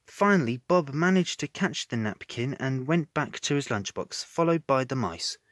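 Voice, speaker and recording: A man narrating a children's storybook in an expressive reading style. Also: mid-range voice, moderate pace, no echo, studio quality.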